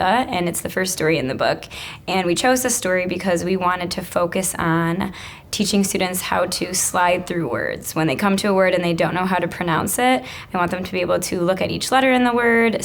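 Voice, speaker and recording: A woman's voice: medium pitch, a little hiss or hum. A woman who teaches elementary school, explaining reading strategies and reading aloud in an instructional way.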